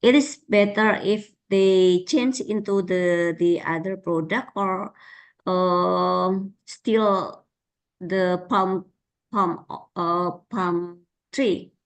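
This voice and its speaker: A woman's voice: on the low side, fairly even intonation, extremely slow. A woman leading a classroom discussion, prompting students with questions. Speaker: lecturer.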